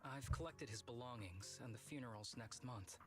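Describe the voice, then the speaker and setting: An actor voicing a laid-back young male agent in a video game, wry but reflective, with soft, measured, earnest delivery. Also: medium pitch, close to an everyday voice. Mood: subdued. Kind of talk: scripted video game dialogue. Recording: game audio with music behind.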